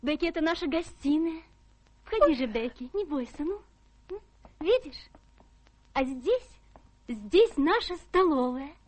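Guileless genteel young daughter, girlish, very high, unhurried, earnest radio drama delivery. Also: expressive, darkish tone. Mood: happy, eager. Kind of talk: theatrical radio play acting.

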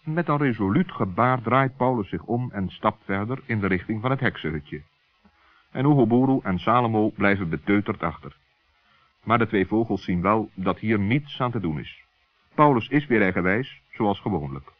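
A man's voice: fairly low pitch, no room sound. A man narrating a scripted children's story in the style of an old radio play. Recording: thin, phone-like old radio recording.

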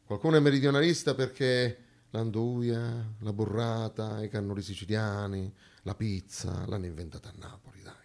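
A man's voice: fairly low pitch, fairly even intonation, normal speed, a little mellow. A man acting on stage, delivering a dramatic monologue in an emphatic, declamatory way with rhetorical repetition. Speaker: stage actor performing a theatre monologue. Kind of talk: dramatic theatrical monologue, rhetorical repeated lines.

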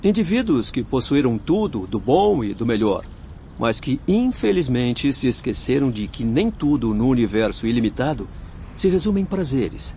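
Earnest Brazilian spirit doctor, swooping intonation. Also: male voice, medium pitch, sing-song, moderate pace, dark tone. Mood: moralizing. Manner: emphatic, declamatory, moralizing. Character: earnest spirit doctor, grave moralizing mentor. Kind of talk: radio drama acting in a spiritist serial. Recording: muffled lo-fi audio with faint noise.

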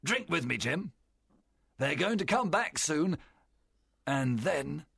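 A man voicing a fierce, cunning pirate captain with a strained, gravelly rasp and theatrical, commanding delivery in a storybook reading. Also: fairly high pitch, big pitch swings, takes it slowly, fairly bright tone, dry recording, studio quality, voice pushed up, exaggerated voice. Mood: sly, conspiring. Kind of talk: dramatized audiobook character voice.

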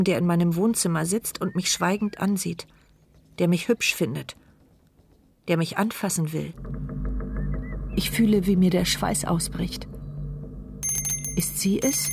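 A woman's voice: fairly low pitch, a little slow, creaky, long pauses, background noise. An actress voicing the inner thoughts of a helpless, mute woman in a radio crime drama, inward and watchful. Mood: rising fear. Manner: inward, watchful, tense phrasing. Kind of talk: first-person radio drama monologue.

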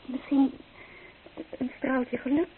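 A woman's voice: high voice, flat delivery, takes it slowly. An actress playing a young, earnest and wistful romantic woman in an old radio drama. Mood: yearning, defiant. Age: young adult. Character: young romantic woman longing for freedom, torn. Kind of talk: old radio play acting. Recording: tinny old radio recording, faint noise.

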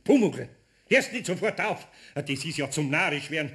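A man's voice: on the high side, sing-song, normal speed, vocal fry, a bit bright, heavily acted. An actor playing a flustered, grumbling older master craftsman with a Bavarian accent in a radio play. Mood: exasperated. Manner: hesitant, exasperated, sighing. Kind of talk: German radio play acting.

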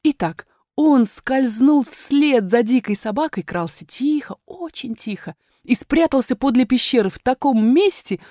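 Female storyteller for children's tales: expressive, tender, emphatic on repeats, close dry studio sound. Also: high-pitched, measured pace, hardly any pauses, dry recording. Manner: addresses a small child tenderly, emphatic repetition. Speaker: audiobook narrator.

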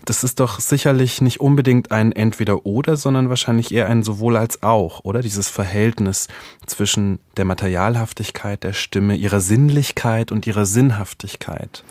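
A man's voice: lowish voice, moderate pace, a little mellow, dry recording. A man acting as interviewer in an academic conversation, phrasing his questions tentatively and with qualifications.

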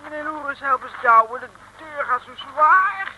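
An actress playing an excitable, chatty, easily frightened young female sidekick in a mid-century comic radio serial, full of fluttery exclamations. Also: high-pitched, unhurried, highs cut off. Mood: chirpy. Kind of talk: 1950s-60s comic radio serial acting. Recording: old radio recording, echoing hall, faint noise.

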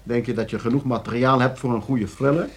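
An actor playing a playful, scheming young nephew with a teasing, animated manner in a radio drama. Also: male voice, mid-range voice, fast, breathy edge, lightly acted.

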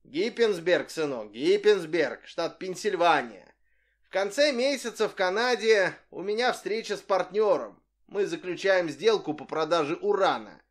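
A man voicing a small, boastful old tramp who shouts and hurries everyone along. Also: high-pitched, expressive, a little slow, pitched above the performer's own voice. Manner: shouting and bragging, hurrying others on.

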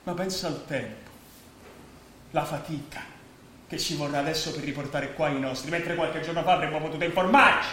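An actor playing an indignant homeless man in Italian theatre, agitated and declamatory, swinging between hushed talk and shouts. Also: a little high, fairly quick, slight background noise. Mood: breathless, bitter. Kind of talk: theatrical Italian stage acting. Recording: very echoey stage hall recording.

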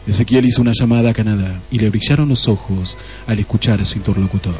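A man's voice: lowish voice, fast talker, soft background music, natural voice. An actor playing the narrator of a comic detective radio play, a man reading scripted storytelling in Spanish.

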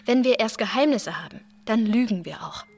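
An actress playing a plucky, outspoken young wife in a German radio drama, with a direct, questioning manner. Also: female voice, a little high, animated, moderate pace, darkish tone, soft background music. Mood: earnest, insistent. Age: young adult.

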